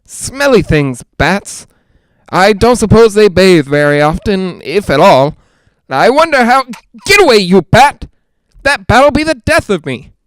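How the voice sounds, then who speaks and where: Male, clear high voice; actor playing a jittery, lonely haunted-house broadcaster, rambling, halting, old-time radio drama. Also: sing-song, no room sound, poor audio. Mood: jumpy, squeamish. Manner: nervous, rambling, halting, self-reassuring. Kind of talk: 1940s-style radio drama monologue.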